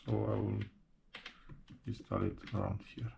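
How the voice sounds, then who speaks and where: A man narrating a hands-on how-to tutorial step by step, speaking haltingly in short broken phrases. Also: lowish voice, flat delivery, creaky, lo-fi sound, noisy background. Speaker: presenter of a how-to installation tutorial.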